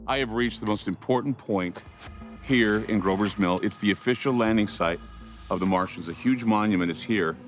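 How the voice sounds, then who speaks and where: A man's voice: fairly low pitch, normal speed, soft background music. A man giving informal explanatory commentary for a documentary.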